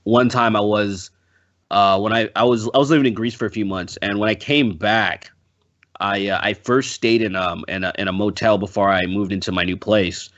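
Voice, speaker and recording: A man in casual podcast chat, rambling and halting, swearing freely. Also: on the low side, steady tone, fairly bright tone, muffled recording. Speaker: podcast guest. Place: indoor room on a group video call.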